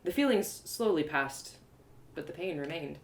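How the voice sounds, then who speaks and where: A woman reading a first-person fantasy story live, in an expressive, confiding, dramatized narration. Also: deep voice, moderate pace, vocal fry. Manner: expressive, confiding, acted-out delivery. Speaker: storyteller and audio drama performer.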